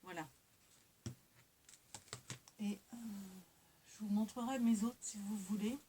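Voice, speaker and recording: A woman's voice: medium pitch, slow pace, dark tone. A woman chatting casually to her viewers as a craft vlogger, hesitant and rambling, often correcting herself as she goes. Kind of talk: casual haul chat to viewers, showing items. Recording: clear background noise with hiss.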